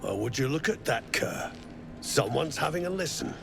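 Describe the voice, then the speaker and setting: A man playing a crude, greedy mercenary slave-driver in a video game, with a rough, taunting, colloquial way of talking. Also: on the high side, sing-song, moderate pace, over music. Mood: menacing, taunting.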